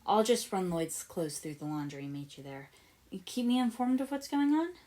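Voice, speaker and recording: A woman's voice: mid-range voice, big pitch swings, normal speed, warm tone, natural voice. A woman voicing a fond, anxious mother in a read-aloud story. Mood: warm, casual. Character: fond, anxious mother comforting a young boy.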